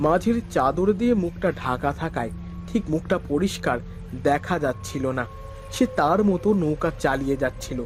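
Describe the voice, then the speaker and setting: A man's voice: on the high side, lively intonation, normal speed, a little hiss or hum. A man narrating a scripted first-person horror story.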